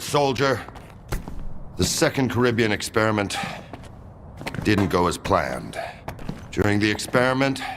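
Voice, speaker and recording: An actor playing a stern, impatient colonel in a video game, speaking with curt authority. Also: male voice, on the low side, fairly bright tone. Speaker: military commander. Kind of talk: video game cutscene acting. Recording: faint background ambience noise.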